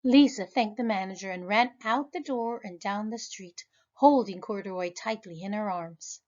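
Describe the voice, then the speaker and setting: A woman, a preschool teacher, reading a children's picture book aloud in a lively, expressive way. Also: normal speed, lo-fi sound. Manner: animated, high voices for characters. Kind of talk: children's storybook read-aloud.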